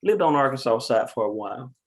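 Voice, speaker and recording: Modest man from Texarkana, Texas; brief, low-key answers on a panel call. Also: expressive, quick pace. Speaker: counselor. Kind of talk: casual video call panel.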